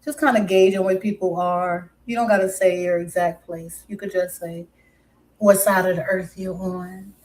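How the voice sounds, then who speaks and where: A woman's voice: fairly low pitch, steady tone, normal speed, a little mellow, rough recording. Chatty woman with a mixed New York accent. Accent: mixed New York and West Indian. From Brooklyn, New York. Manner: chatty, greets viewers by pet names. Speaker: live stream host. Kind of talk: casual live stream chat.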